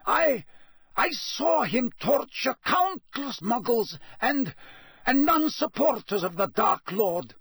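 A man's voice: extremely high pitch, sing-song, a bit bright, slightly rough, no echo, studio quality, pitched above the performer's own voice, full character voice. A man in a storybook reading, voicing an unctuous, panicky prisoner who pleads breathlessly and stammers. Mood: breathless, desperate. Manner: breathless, hurried, stammering, ingratiating.

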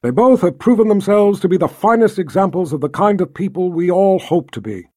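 Audiobook role, male reader: proud lordly officiant, ceremonial and emphatic, raised pitch with big swings, slightly rough tone. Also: lively intonation, a little fast, darkish tone. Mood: solemn, proud. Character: proud, solemn lord officiating a wedding. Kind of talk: audiobook character acting.

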